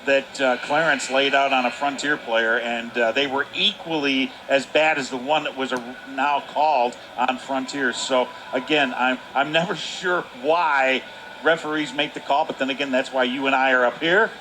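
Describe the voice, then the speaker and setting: Male color commentator, medium pitch, measured and agreeable live hockey analysis over light arena background noise. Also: steady tone, bright, forceful tone, poor audio. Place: commentary position at an indoor ice rink.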